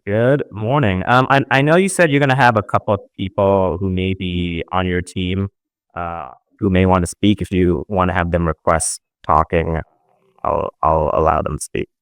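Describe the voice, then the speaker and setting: A man's voice: deep voice, fairly even intonation, moderate pace, smooth, dry recording. A man hosting a casual live audio chat, asking upbeat questions with lots of fillers.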